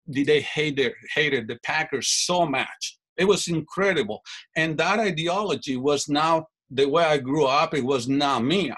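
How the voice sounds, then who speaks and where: A man's voice: a little high, fairly bright tone. Chatty man rambling. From Mexico. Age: middle-aged. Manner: rambling, self-correcting storyteller. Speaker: guest fan. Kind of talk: casual interview answers.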